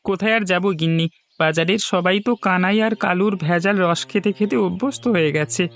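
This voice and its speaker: Guy doing cartoon village juice seller, high voice, honest n kinda plaintive, bit of music behind. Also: fairly quick, fairly bright tone, dry recording. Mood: dejected. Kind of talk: cartoon voice acting.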